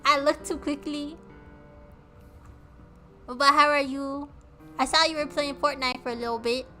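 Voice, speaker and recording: Woman streamer, high bright voice, bubbly and giggly, casual chat with viewers. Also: fairly even intonation, a little slow, faint music behind. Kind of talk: casual chat with live chat while playing a game.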